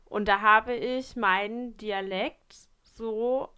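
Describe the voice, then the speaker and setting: Clear-voiced measured woman. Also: on the high side, steady tone, sharp tone. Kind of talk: casual chat.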